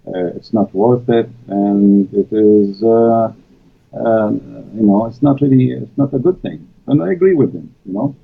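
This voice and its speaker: Man, native Arabic speaker, low gravelly voice, hesitant with many fillers, tinny phone-like sound. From Middle East. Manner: halting, many fillers, non-native grammar. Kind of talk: casual live-stream conversation. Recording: tinny call audio.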